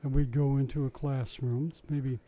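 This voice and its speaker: A man's voice: medium pitch, little pitch movement, moderate pace, dark tone, low-quality recording. An older man telling stories in a casual interview about running science shows, chatty and wry with frequent restarts. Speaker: interviewee recalling his science show work. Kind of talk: casual oral-history storytelling.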